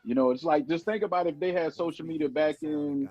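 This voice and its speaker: A man's voice: fairly high pitch, fairly quick, dark tone, lo-fi sound, soft background music. A man co-hosting a radio interview, chatting casually and rambling his way into questions. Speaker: radio show co-host and interviewer.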